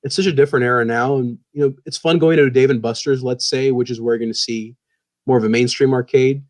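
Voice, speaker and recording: Guest author, male, thirties, quick and eager, middle pitch, talkative with lots of "um"s. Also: fairly even intonation. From born in the US, grew up in Pakistan. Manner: enthusiastic, talkative, many fillers. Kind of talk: casual podcast chat.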